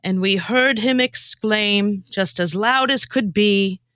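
A woman with a theatrical, animated delivery, moving between comic storytelling and casual podcast chat. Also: medium pitch, slow pace, dry recording, clean studio sound. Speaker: podcast former co-host and guest performer.

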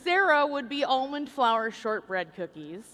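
High, very animated woman co-host. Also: a little slow, crowd noise. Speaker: podcast co-host. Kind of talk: live stage show banter. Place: large convention hall stage.

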